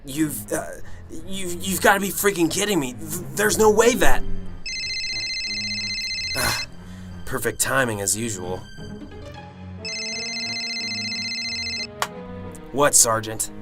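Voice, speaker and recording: A man's voice: fairly high pitch, very animated, a little slow, long pauses, loud music behind the voice. A man playing a cynical, sarcastic police detective in a radio drama, with terse delivery. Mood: disbelieving, sarcastic.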